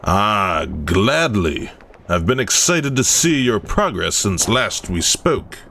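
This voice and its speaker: An actor playing an eager, ambitious army major awed by grim research, with theatrical, emphatic delivery in a horror audio drama. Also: male voice, fairly low pitch, very animated, takes it slowly, sharp tone, exaggerated voice. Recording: faint background noise.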